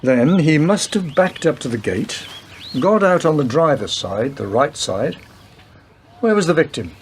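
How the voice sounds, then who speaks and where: Man acting a slightly high-voiced, wry police detective. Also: animated, unhurried, a little hiss or hum. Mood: brisk, analytical. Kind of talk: radio play acting.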